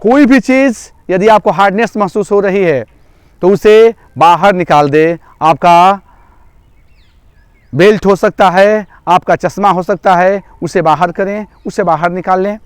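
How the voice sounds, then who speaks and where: High-pitched, clear-voiced male relaxation guide. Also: unhurried, no room sound, studio quality. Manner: repetitive, step-by-step instructing. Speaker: yoga nidra instructor. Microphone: microphone through a loudspeaker.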